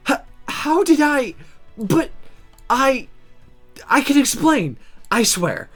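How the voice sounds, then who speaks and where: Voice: sits high, sing-song, takes it slowly, fairly bright tone, soft background music, voice pushed up, heavily acted. A streamer acting out a flustered, stammering teen mage girl. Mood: flustered, sheepish. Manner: stammering, awkward. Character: flustered, awkward teenage girl mage, sarcastic.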